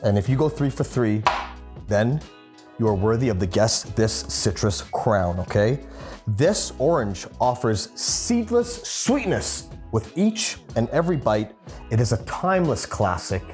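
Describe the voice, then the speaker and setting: A man's voice: medium pitch, very animated, a little slow, a little mellow, soft background music. A man hosting a cooking show, playful and instructive as he talks viewers through a recipe. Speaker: chef and host. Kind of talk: upbeat cooking show presenting.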